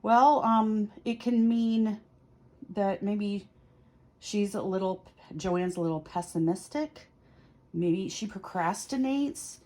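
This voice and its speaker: A woman's voice: medium pitch, slow pace, darkish tone. A woman hosting a casual explanatory video, thinking aloud in a conversational, lightly self-mocking way as she does a tarot reading. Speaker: tarot reader and video host. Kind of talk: casual explanatory chat to camera.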